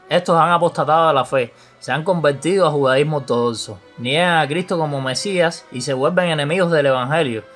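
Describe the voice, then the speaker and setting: A man with a fairly high voice speaks calmly and with quiet assurance as co-host of a religious podcast. He often affirms his partner before giving his own measured explanations. Faint music runs underneath.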